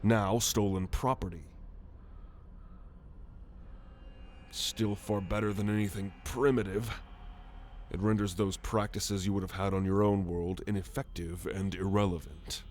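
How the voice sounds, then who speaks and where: Man voicing a low, haughty alien warrior. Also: steady tone, darkish tone, a little hiss or hum, natural voice. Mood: haughty, explaining. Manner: haughty, sardonic, measured with exasperated sighs. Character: proud, gruff alien warrior, a renegade military fugitive. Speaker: ASMR roleplay voice actor. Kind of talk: ASMR roleplay monologue to the listener.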